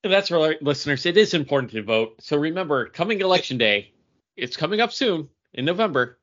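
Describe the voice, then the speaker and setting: A man's voice: a little high, sing-song, a little fast, fairly bright tone, dull audio. A man co-hosting a podcast with casual, emphatic and opinionated commentary. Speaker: podcast co-host.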